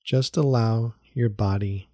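A man leading a guided meditation in a soothing, unhurried manner with long pauses and repeated phrases. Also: mid-range voice, dark tone, no room sound, clean studio sound. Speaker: meditation guide, yoga teacher. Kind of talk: guided meditation, calm instruction.

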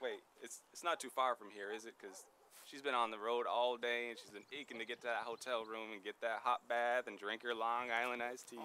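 A man's voice: mid-range voice, fairly even intonation, a little fast, pushed and bright, slight background noise, close to an everyday voice. A man playing an eager, chatty traveling husband in a drama, with a polite and playful manner. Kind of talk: acted drama dialogue.

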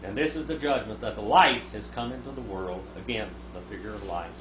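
A man in his seventies teaching the Bible at a lectern, reading scripture aloud and voicing its speakers louder. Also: lowish voice, brisk. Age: 70s. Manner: reads scripture aloud, rising loud for quoted speakers. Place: small room with a lectern. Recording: echoey room, noticeable hum.

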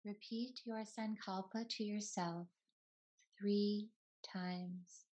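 Woman guiding meditation very slowly. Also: medium pitch, fairly even intonation, a little mellow. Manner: soothing, unhurried, short phrases with long pauses. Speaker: yoga teacher leading a guided meditation. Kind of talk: slow guided meditation narration.

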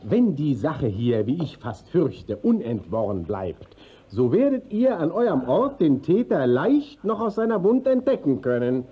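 An actor playing a courteous, quietly suspicious senior court inspector, formal and inquisitive, in a radio play. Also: male voice, a little high, very animated, a little slow, husky, full character voice. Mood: suspicious, authoritative. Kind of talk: classic German radio play acting. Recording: dull old 1950s radio recording.

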